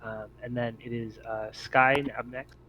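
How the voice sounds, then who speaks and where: A man's voice: medium pitch, flat delivery, a little slow, bright, forceful tone, slight background noise. A man acting as game master, talking casually as he runs a tabletop roleplaying game.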